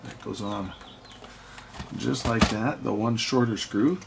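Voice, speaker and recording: A man's voice: lowish voice, steady tone, slow, vocal fry, very noisy. A man giving casual hands-on narration as host of an electronics repair video, half muttering to himself as he works.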